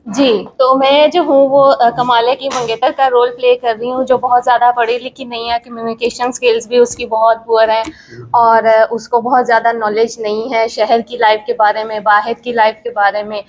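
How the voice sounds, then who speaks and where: Higher-pitched, even-toned Pakistani woman. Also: poor audio. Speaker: stage actress. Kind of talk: informal interview answer.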